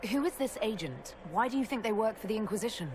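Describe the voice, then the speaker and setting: A woman acts a self-possessed leader heroine in a fantasy game. She questions others calmly and diplomatically in a medium-pitched voice. Clear ambient noise is audible behind her. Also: lightly acted. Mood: firm, diplomatic. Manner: measured, diplomatic, inquiring. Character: composed, questioning heroine leading an order. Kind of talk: video game drama acting.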